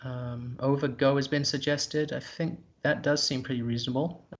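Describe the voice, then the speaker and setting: A man's voice: mid-range voice, steady tone, normal speed, highs cut off. A man commentating a live board game, thinking aloud in a hesitant, musing way.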